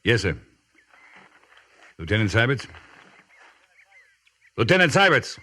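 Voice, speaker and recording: A man playing a brisk, dutiful cavalry captain in a 1950s radio western, with clipped military delivery. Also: medium pitch, sing-song, bright, forceful tone, no echo, exaggerated voice. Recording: 1950s radio broadcast recording.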